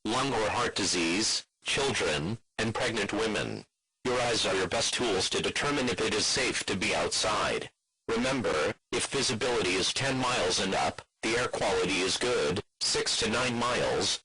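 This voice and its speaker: Male text-to-speech announcer, lowish pitch, formal and flat, for scripted emergency broadcast alerts. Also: poor audio.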